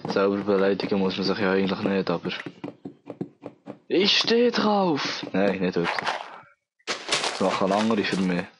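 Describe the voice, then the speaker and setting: A man's voice: low voice, lively intonation, normal speed, long pauses, noisy background. Bernese Swiss German man with a cold. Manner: rambling, self-mocking, thinking aloud. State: has a cold. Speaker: let's play gamer. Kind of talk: casual gameplay commentary.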